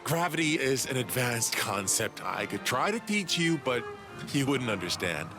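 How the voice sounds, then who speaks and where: A man's voice: medium pitch, big pitch swings, moderate pace. A man voicing an eager, cocky young alien inventor who explains things condescendingly in a video game. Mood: smug. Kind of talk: video game cutscene dialogue. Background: background music.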